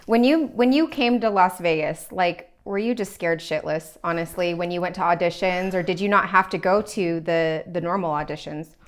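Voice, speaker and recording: A woman's voice: fairly low pitch, normal speed, hardly any pauses. A young woman hosting a casual, chatty interview in an informal, bubbly way. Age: young adult. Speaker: podcast host and interviewer.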